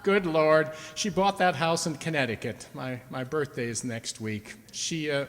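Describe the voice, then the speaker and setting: An actor playing an anxious, easily alarmed husband in a radio play, stammering and hesitant. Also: male voice, fairly high pitch, measured pace, close to an everyday voice. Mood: shocked, dismayed. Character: flustered, anxious henpecked husband. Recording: echoey hall, long reverb.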